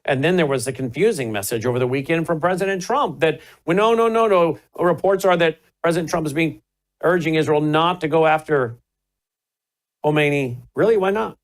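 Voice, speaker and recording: A man's voice: a little high, brisk. Male talk host, middle-aged, emphatic, pushes hard on rhetorical questions. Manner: emphatic, rising to forceful questions. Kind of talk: political commentary and panel hosting.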